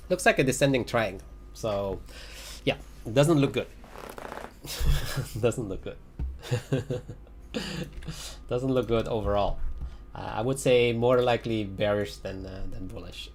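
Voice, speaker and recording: A man's voice: fairly low pitch, takes it slowly, pauses often, a little hiss or hum. A man giving casual livestream commentary on trading charts, hesitant and full of fillers, often repeating himself. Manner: hesitant, many fillers, repeats phrases, chuckles. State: voice getting raspy after a long stream. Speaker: crypto chart analyst on a livestream.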